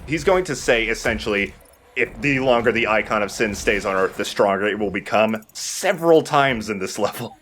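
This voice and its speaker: A man's voice: lowish voice, expressive, normal speed, fairly bright tone, slight background noise. A man giving casual, explanatory gameplay commentary in an informal way, with some swearing. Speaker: let's play host and player. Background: game music.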